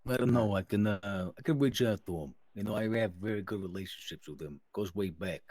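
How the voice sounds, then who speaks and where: A man's voice: lowish voice, fairly quick, a little mellow, no room sound. A man playing a self-important mafia associate in an improvised crime game, using formal, businesslike wording. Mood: eager, formal. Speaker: roleplay player. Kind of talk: improvised in-character crime roleplay.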